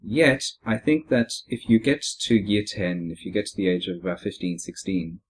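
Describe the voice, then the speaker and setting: Husky-voiced man with a foreign accent. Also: on the low side, animated. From born abroad, moved to Australia at fifteen. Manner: measured, reflective, with frequent "uh" and soft "mm-hmm" backchannels. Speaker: podcast host. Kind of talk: casual podcast discussion.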